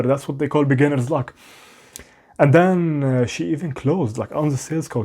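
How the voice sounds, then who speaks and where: A man's voice: medium pitch, dark tone, husky. A young man with a non-native English accent, rambling candidly to the camera in a small room at home, often mocking himself and restarting sentences. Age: young adult. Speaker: vlogger.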